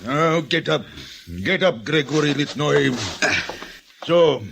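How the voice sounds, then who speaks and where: A stern, cruel old general from a 1950s radio play. He is imperious and menacing, with a fairly high, creaky male voice. He speaks slowly and deliberately. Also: background noise. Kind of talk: 1950s radio drama acting.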